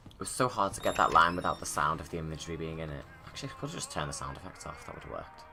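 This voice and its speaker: A man's voice: very deep voice, animated, rapid-fire. A man giving informal, rambling creator's commentary on his own video, casual and off the cuff.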